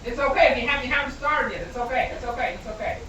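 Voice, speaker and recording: A woman's voice: sits high, very fast, pushed and bright, highs cut off, a little hiss or hum. A woman from Iran, hosting and narrating a folktale for children in a chatty, giggly manner. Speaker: storytelling host.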